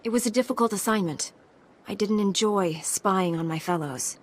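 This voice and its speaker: A woman's voice: mid-range voice, normal speed, lightly acted. A woman's mid-range voice, acting as a young Jedi apprentice in a video game. She is poised, direct and dutiful, with faint background noise.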